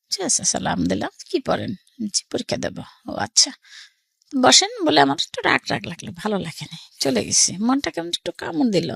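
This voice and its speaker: A woman's voice: high-pitched, sing-song, brisk, vocal fry, a bit bright, slightly rough, dry recording, studio quality. A middle-aged woman with a Bangladeshi accent tells her life story casually and conversationally, often quoting family dialogue.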